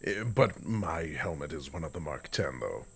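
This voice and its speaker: A man voicing a towering, stoic space-marine warrior in a comic dub, gentle but dutiful, speaking in a slow, solemn, gravelly voice. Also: sing-song, a little hiss or hum, heavily acted. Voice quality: very deep, gravelly voice. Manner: slow, solemn, measured delivery. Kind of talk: fan comic dub voice acting. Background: music score.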